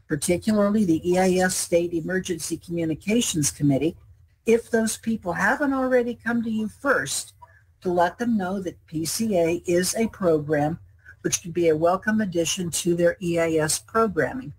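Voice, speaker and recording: A man with a husky, somewhat rough-edged voice delivers a prepared webinar presentation. The delivery is formal, that of a team lead addressing colleagues. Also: high-pitched, normal speed, poor audio.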